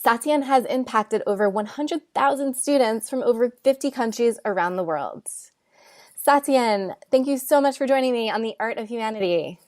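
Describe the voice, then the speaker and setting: A woman's voice: fairly high pitch, normal speed, darkish tone. Enthusiastic woman podcast host. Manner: enthusiastic, admiring questions. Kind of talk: scripted intro and interview.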